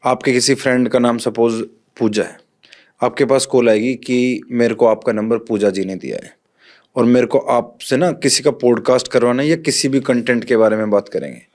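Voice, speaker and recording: A man's voice: mid-range voice, normal speed, a little mellow. A man speaking as a police officer guest in an interview, explaining things step by step with direct examples to the listener.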